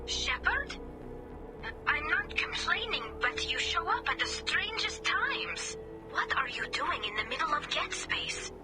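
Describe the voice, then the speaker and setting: A woman's voice: extremely high pitch, very animated, unhurried, full character voice, poor audio. A woman playing an earnest, wistful young female alien engineer in a video game, heard through a helmet radio filter. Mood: anxious, surprised. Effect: helmet filter, radio comms. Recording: game audio with music behind, filtered voice. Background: music.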